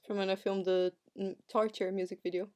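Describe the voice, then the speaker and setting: A woman's voice: mid-range voice, flat delivery, brisk, warm tone. A woman with a Brazilian accent hosting a casual podcast, giggly and bubbly in her reactions. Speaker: podcast host. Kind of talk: casual chat.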